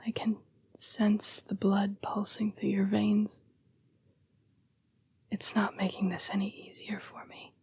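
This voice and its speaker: Woman as a breathy, whispering, apologetic vampire. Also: medium pitch, monotone, exaggerated voice. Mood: tempted, apologetic. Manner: formal, halting, hushed delivery. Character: apologetic female vampire struggling with bloodlust, protective yet predatory. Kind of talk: intimate scripted audio roleplay addressed to the listener.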